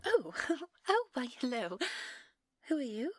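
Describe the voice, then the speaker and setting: Fairy aristocrat, played by a woman: prim, vain, naive, lilting and breathy, close dry audio roleplay sound. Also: high-pitched, very animated, slow, a little mellow, no echo, full character voice. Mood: polite, flustered. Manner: prim, fluttery, hesitant politeness.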